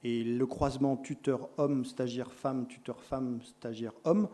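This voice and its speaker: A middle-aged man lectures a room of teacher tutors. His voice is warm and mellow, sitting at a middle pitch, and he leans hard on key words before dropping into quick corrections and asides. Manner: explanatory, lively emphasis, self-correcting asides. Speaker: lecturer in education sciences.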